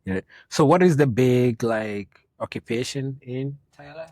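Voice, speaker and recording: A young man from Ghana hosting a casual interview, relaxed and rambling, laughing often. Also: medium pitch, measured pace, no room sound. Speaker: podcast host.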